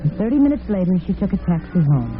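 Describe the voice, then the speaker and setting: A woman's voice: lowish voice, big pitch swings, quick pace. A woman narrating a story in the dramatic style of old-time radio. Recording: tinny vintage radio with music underneath.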